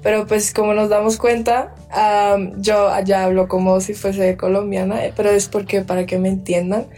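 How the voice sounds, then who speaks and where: A teenage girl from the Dominican Republic answering interview questions in an even, thoughtful way. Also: mid-range voice, normal speed. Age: teens. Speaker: student being interviewed. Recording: distant mic with faint music.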